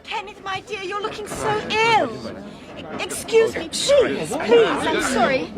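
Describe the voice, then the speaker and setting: An actress playing a fussing, worried English wife with an English accent in a radio drama. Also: female voice, extremely high pitch, lively intonation, takes it slowly, heavily acted. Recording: loud crowd and train noise.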